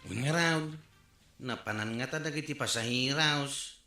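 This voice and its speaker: A man's voice: medium pitch, unhurried, pushed and bright, echoey room, soft background music. A man acting a joking driver waiting for passengers, in a radio drama.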